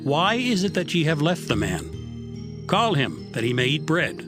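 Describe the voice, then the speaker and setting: A man's voice: fairly high pitch, big pitch swings, moderate pace. A man playing a hospitable, inquisitive father and desert priest in a dramatized scripture reading. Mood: curious, hospitable. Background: music.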